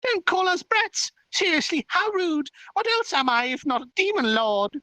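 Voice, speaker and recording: Man putting on a squeaky, haughty little fairy girl. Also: moderate pace, dry recording, heavily acted. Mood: indignant. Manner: indignant, boastful, babbling excitedly. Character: tiny haughty childish fairy girl claiming demon lordship. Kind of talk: hobby light-novel read-aloud character voice.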